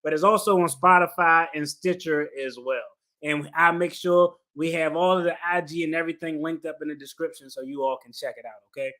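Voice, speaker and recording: A man hosts a relaxed interview in a noticeably high voice. His phrasing is informal and slangy, with affirming interjections. He sounds conversational, like someone chatting with a guest he wants to draw out. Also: brisk, few pauses, low-quality recording. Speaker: podcast host interviewing a guest. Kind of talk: casual interview chat.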